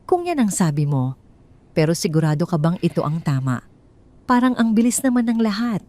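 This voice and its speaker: Low-voiced, calculating, coaxing wife, acted by a woman. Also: sing-song, fairly quick, dark tone. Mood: feigned hesitation. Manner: persuasive, reassuring. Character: calm, calculating wife coaxing her husband.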